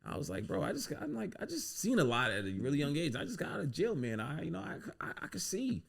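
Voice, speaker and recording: A man, a guest on a podcast, telling stories from his life in a relaxed, casual way with lots of "you know what I'm saying". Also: on the high side, fast talker, creaky. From United States. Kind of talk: casual storytelling interview.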